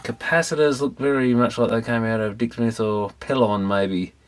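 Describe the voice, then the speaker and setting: A man's voice: mid-range voice, fairly even intonation, moderate pace. An Australian man giving casual, rambling commentary as he works, offhand and musing to himself. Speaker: electronics repair hobbyist. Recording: clearly noisy recording with clicks.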